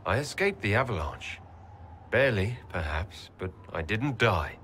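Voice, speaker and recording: Game role: weary, sceptical male hero, lowish voice with lively inflection, dramatic cutscene delivery. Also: a little slow, slight background noise. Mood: doubtful, protesting.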